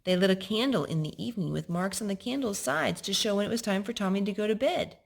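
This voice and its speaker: A woman's voice: medium pitch, animated, fast talker, gravelly. A woman hosting a storytime, reading a children's picture book aloud and explaining it to a young child. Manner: instructive, addressing a young child.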